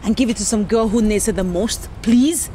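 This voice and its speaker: A woman's voice: medium pitch, moderate pace, slight background noise, close to an everyday voice. An actress playing a proud, guarded young female student in a Nollywood film drama. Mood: annoyed. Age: young adult. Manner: expressive, sharp when annoyed. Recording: film soundtrack with loud background music.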